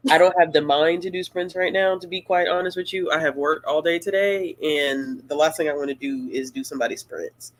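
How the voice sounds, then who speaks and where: A woman hosting a casual live-stream Q&A, talking in a relaxed, rambling and playful way. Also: low-pitched, fairly quick, few pauses, low-quality recording. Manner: laid-back, rambling, playful. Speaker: booktuber host of a live Q&A.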